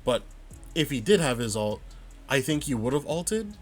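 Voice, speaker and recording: Guy going over his own game clips, thinking out loud, medium voice, soft music under it. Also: medium pitch, sing-song. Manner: thinks aloud, analytical, pauses to rewind and check. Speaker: gamer reviewing his own match footage. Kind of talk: casual vod review commentary.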